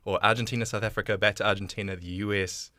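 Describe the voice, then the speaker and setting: Low-voiced male podcast host. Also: steady tone, fast, bright, forceful tone, no room sound. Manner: friendly, chatty, with frequent fillers. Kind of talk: podcast interview, casual chat.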